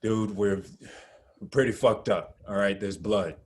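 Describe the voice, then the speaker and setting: A man acting in a script read as a rattled, foul-mouthed leader of a trapped group, speaking in a halting, urgent way. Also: lowish voice, lightly acted. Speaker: actor in a screenplay table read. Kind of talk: acted script reading.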